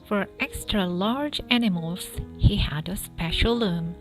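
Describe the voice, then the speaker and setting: A woman narrating a children's storybook aloud in an expressive style, with music behind her. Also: mid-range voice, unhurried. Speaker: storybook reader. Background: background music.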